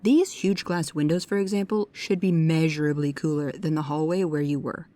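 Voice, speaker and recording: An actress plays a bookish young female paranormal investigator in an immersive audio drama. Her voice sits a little low for a woman. She is precise and pedantic, with a nervous, hesitant earnestness. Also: steady tone, normal speed, warm tone, lightly acted. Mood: pedantic, curious. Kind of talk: immersive audio roleplay addressed to the listener.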